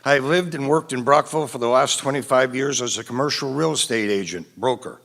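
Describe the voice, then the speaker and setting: Man doing a formal campaign intro, slow and deliberate, medium voice. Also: medium pitch, gravelly, fairly bright tone. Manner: formal, deliberate, addresses the room. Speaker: city council candidate. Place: council chamber debate.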